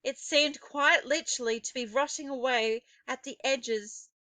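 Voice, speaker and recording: A woman narrating a children's story aloud in an expressive, deliberate and suspenseful way. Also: sits high, no room sound. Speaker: teacher reading a children's novel aloud.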